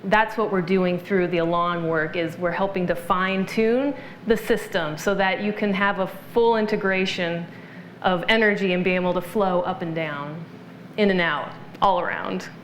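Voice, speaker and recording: A woman's voice: on the low side, steady tone, moderate pace, reverberant, a little hiss or hum. A woman being interviewed as a practitioner, explaining her work earnestly and affirming often. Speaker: guest practitioner. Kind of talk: interview conversation.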